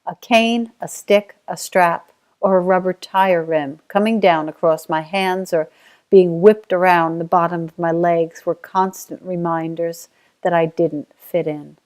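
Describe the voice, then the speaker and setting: Middle-aged Australian woman, low-ish voice, steady reflective memoir read. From Australia (Tasmania). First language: Auslan (sign language). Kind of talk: reading a personal life story.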